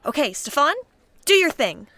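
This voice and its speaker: A woman's voice: high voice, big pitch swings, normal speed, sharp tone, no room sound, exaggerated voice. An actress playing Gloria, a fussy female team coordinator who gives bossy, fretting instructions in an audio comedy.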